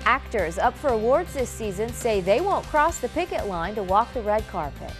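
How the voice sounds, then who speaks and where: A woman's voice: a little high, sing-song, normal speed, soft background music. A woman reading scripted television news as a morning anchor.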